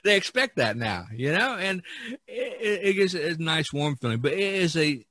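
A man's voice: on the high side, very animated, normal speed, pushed and bright, dry recording. A man chatting casually on a podcast, explaining things in a rambling way with many "you knows". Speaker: artist on a podcast.

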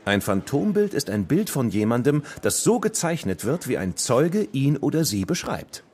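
Genial, husky policeman. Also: mid-range voice, very animated, normal speed, darkish tone. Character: genial small-town policeman, explains things to children.

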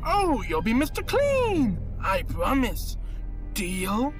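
A man voicing a gleeful, theatrical clown villain in a cartoon fan dub, mock-friendly and drawn-out. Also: very high voice, wildly swooping pitch, soft background music, exaggerated voice. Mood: scheming.

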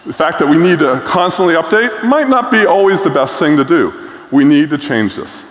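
A man's voice: a little high, animated, moderate pace. A middle-aged man giving a conference keynote on stage, blunt and enthusiastic, with frequent filler words. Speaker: keynote speaker. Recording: echoey hall reverb.

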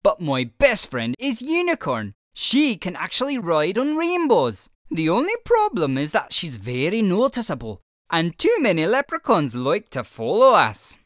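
A woman's voice: fairly low pitch, wildly swooping pitch, unhurried, dry recording, studio quality. Louis, a woman doing a cheerful leprechaun man. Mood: friendly. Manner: welcoming, explaining patiently. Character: cheerful male leprechaun shoemaker, friendly. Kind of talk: children's picture book read-aloud.

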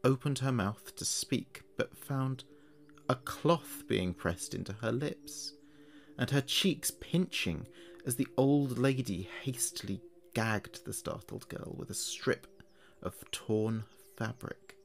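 A man narrating a horror story in a measured, scripted way with dramatic pauses. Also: medium pitch, gravelly.

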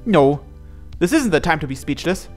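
A man's voice: fairly high pitch, lively intonation, normal speed, a little mellow, faint music behind. A man reading narration aloud from a visual novel as he plays through it.

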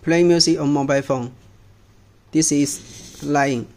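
A man's voice: on the high side, fairly even intonation, unhurried, a little mellow, slight background noise. A man with a Chinese accent giving a terse, step-by-step product demonstration in short, clipped English phrases as a non-native speaker.

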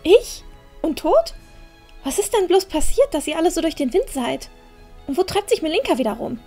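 A young heroine from a fantasy audio drama, acted by a woman, headstrong and easily flustered. Her voice sits high, with soft music behind it. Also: very animated, normal speed, exaggerated voice. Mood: puzzled. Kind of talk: fan audio drama acting.

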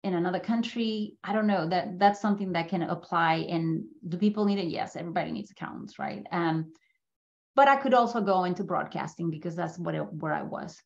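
A woman's voice: lowish voice, brisk, muffled recording. A middle-aged woman from Mexico gives an informal, conversational presentation about her own life, often checking in with listeners. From Mexico City, Mexico. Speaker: guest speaker at a business school. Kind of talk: informal slide presentation, personal story. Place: indoor room, on a video call. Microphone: video call microphone.

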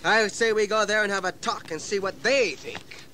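A man's voice: sits high, fairly even intonation, normal speed, pushed and bright, a little hiss or hum. An actor playing a folksy, aggrieved colonial townsman spoiling for a fight in a history audio drama. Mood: urging action.

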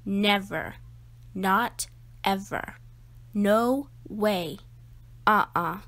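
A woman reading a children's picture book aloud expressively, with emphatic, deliberate phrasing. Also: medium pitch, a bit bright. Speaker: read-aloud storyteller.

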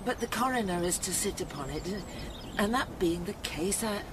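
An actress playing a poor, timid, deferential village widow in a radio drama, speaking in a faltering, pleading manner. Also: female voice, medium pitch, lively intonation, moderate pace, noisy background, close to an everyday voice. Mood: anxious, humble. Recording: radio drama under loud music.

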